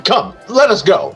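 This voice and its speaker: An actor playing a drunken, boastful wine connoisseur, pompous and exclamatory, in a theatrical dramatic reading. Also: male voice, high-pitched, fairly bright tone, heavily acted. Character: tipsy, boastful, excitable male wine connoisseur.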